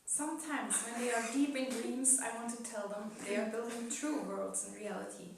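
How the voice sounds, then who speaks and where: A woman playing a gentle, solemn cosmic spirit in a measured, wistful dramatic reading of a poetic dialogue. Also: on the high side, fairly even intonation, natural voice. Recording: echoey room, distant mic, faint noise.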